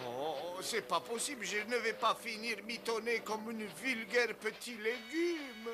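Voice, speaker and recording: A man voicing a gallant, earnest little Smurf with dramatic, exclamatory delivery in an animated cartoon dub. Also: high-pitched, a little slow, noisy background. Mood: dismayed. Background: background music.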